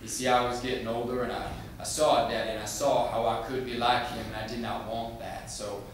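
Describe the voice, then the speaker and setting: A man playing a haunted, unsettling man who narrates a theatrical monologue in a deliberate, ominous manner. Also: mid-range voice, level tone, natural voice. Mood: confiding, haunted. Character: haunted, unsettling Southern man recounting his childhood. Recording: very echoey room, distant mic, faint noise.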